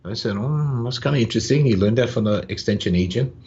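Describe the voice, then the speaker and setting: An older man, telling stories unhurriedly as a podcast guest, pausing on "uh" and tagging lines with "yeah?". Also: mid-range voice, dark tone. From Hawaii. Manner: unhurried, with frequent "uh" pauses and "yeah?" tags. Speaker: guest, farmer and business owner. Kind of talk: relaxed storytelling in conversation. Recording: dull lo-fi sound.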